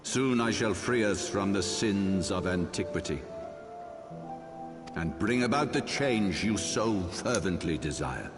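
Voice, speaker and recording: Grave old archbishop for a fantasy game, deep-ish voice, speechifying all archaic and stern, big churchy reverb. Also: male voice, expressive. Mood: solemn, regretful. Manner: solemn, oratorical, deliberate archaic phrasing. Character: proud, stern old archbishop, grave father justifying dark secrets. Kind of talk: dramatic fantasy game voice acting. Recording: long hall reverb, music underneath.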